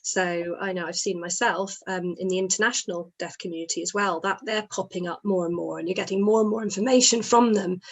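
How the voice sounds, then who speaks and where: A woman giving voice to a deaf interviewee, speaking in reflective, measured phrases. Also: fairly low pitch, fairly even intonation.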